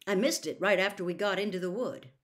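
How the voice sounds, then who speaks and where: A woman's voice: mid-range voice, fast, close to an everyday voice. A woman voicing an earnest teenage boy wizard in an audiobook, speaking directly and insistently.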